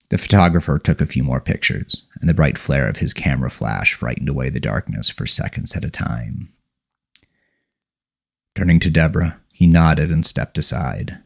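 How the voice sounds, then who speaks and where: A man narrating a scripted horror story with a measured, deliberate delivery. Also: low voice, darkish tone. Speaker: audiobook narrator.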